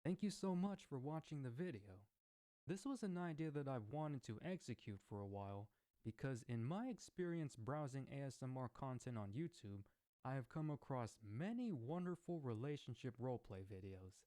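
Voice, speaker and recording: A man's voice: mid-range voice, animated, normal speed, mellow. A man hosting his own scripted discussion segment as a roleplay creator, speaking earnestly in formal wording.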